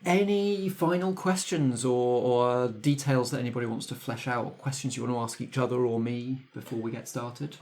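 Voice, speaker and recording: A man's voice: mid-range voice, normal speed, few pauses, a little mellow. A man acting as game master, casually explaining the game and thinking aloud as he goes. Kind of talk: casual tabletop game facilitation, scripted credits at the end.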